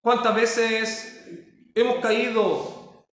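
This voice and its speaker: Male pastor, high voice, emphatic sermon delivery, heavy room echo. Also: flat delivery, very slow, a bit bright. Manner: preaching with rising emphasis and rhetorical questions.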